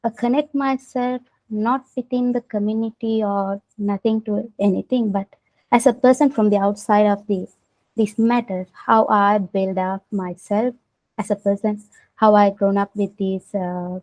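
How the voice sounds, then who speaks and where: A woman with a Sri Lankan accent speaks in a warm, mellow voice. She talks hesitantly, filling gaps with little uhs as she looks for the right words. The recording sounds dull and lo-fi. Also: medium pitch, fairly even intonation, measured pace. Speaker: interviewee, artist. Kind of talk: casual interview answers.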